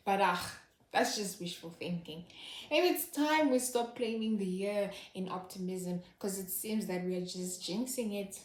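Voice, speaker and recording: A woman delivers scripted satirical commentary in a sardonic, knowing tone. Her voice sits at an ordinary middle pitch, and the recording carries a noticeable roomy echo. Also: darkish tone. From Zimbabwe. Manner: sardonic, wry. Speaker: host. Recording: echoey room.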